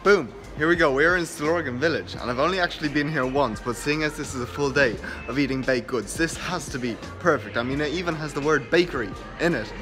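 A man's voice: on the high side, quick pace, hardly any pauses. A young man in his twenties with an Irish accent, vlogging a food challenge, exclaiming and laughing between bites while filming himself at arm's length in a parked car. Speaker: fitness vlogger. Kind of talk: casual food vlog. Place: parked car, and a kitchen at home. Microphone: camera held at arm's length. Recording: music behind. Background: music.

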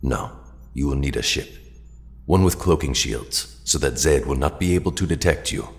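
A man playing an ancient alien mentor in an audio drama, speaking in a grave, measured, formal way. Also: rumbling low. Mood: calm, instructive. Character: ancient, solemn alien mentor to young heroes. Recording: long cavernous reverb.

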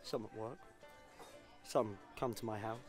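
An actor playing a defensive, wary politician in a naturalistic audio drama. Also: male voice, on the low side, measured pace, soft background music, close to an everyday voice. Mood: tense, grave. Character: tense, defensive male politician, proud and wary.

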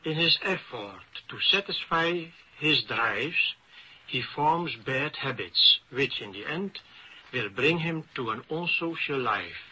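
A man with a Dutch accent giving formal academic panel remarks in careful, halting phrases. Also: medium pitch, animated, pushed and bright, breathy edge. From Netherlands. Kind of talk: formal prepared symposium remarks. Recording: noisy vintage radio recording.

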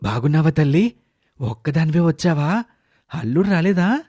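A man's voice: on the high side, big pitch swings, moderate pace, dark tone. A man voicing a loving, protective middle-aged father, earnest and affectionate, in a moral story. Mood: joyful.

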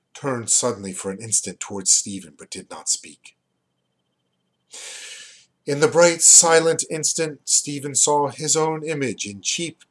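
Slow-paced middle-aged man reading a novel aloud. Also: medium pitch. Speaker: reader, poet and rare book seller. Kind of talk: literary audiobook-style reading of a novel. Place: small furnished room at home, indoors. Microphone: webcam or computer mic at desk distance.

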